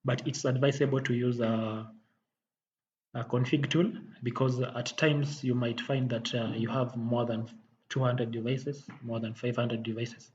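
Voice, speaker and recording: A man giving a software tutorial as a technical instructor, halting and often rephrasing as he explains. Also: medium pitch, little pitch movement. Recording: dull muffled audio, faint background noise.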